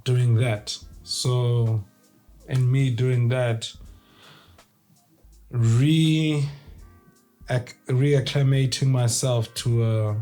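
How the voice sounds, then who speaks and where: Slow-talking laid-back man. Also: mid-range voice, steady tone, warm tone, soft background music. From South Africa. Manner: laid-back, unhurried, chuckles between answers. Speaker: guest, producer and rapper. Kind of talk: relaxed interview answers.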